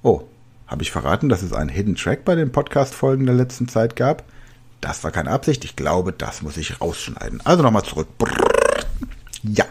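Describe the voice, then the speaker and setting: A man's voice: fairly low pitch, sing-song, moderate pace, slightly rough. A man in his forties hosting a solo podcast, coaching listeners directly in a conversational way with casual asides. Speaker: podcast host and language trainer.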